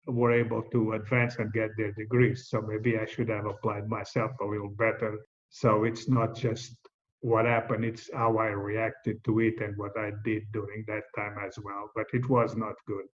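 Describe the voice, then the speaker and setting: A man in his sixties from Portugal with a Portuguese accent, calmly recounting his life story as a podcast guest, with frequent "uh" pauses. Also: fairly low pitch. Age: 60s. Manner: measured, steady, pauses often with "uh". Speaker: guest, host's father. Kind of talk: reflective storytelling. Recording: dull lo-fi audio.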